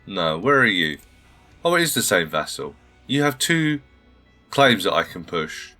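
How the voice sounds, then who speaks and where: Guy with a low voice narrating his strategy game, bit under the weather, faint music behind. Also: very animated, a little slow, bright, forceful tone. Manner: polite, formal greetings, thinking aloud. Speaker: gaming channel host. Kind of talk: casual let's-play commentary.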